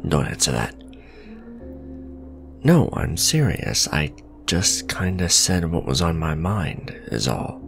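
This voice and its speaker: A man's voice: extremely low pitch, wildly swooping pitch, takes it slowly, gravelly, pauses often, soft background music, heavily acted. A man playing an awkward, blunt supervillain in a romantic ASMR roleplay, speaking in a hesitant, earnest, conversational way. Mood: awkward, defensive. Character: male supervillain, awkward, blunt, businesslike on a blind date. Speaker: voice actor.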